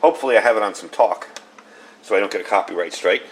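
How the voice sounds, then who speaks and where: A man's voice: on the low side, fast, rough recording. A man giving a casual hands-on gadget review, explaining things step by step in an informal way. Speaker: product reviewer. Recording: clear steady background noise.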